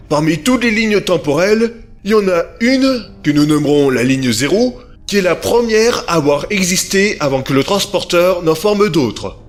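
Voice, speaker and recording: A man acting in an audio drama as a calm, formal inventor giving a didactic conference speech. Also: sits high. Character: calm male inventor presenting his time machine.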